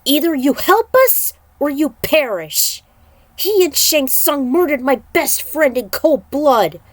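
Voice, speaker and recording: A woman voicing a furious, vengeful male warrior in a story, forceful and threatening. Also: high voice, big pitch swings, slow pace, no room sound, voice pushed up, full character voice.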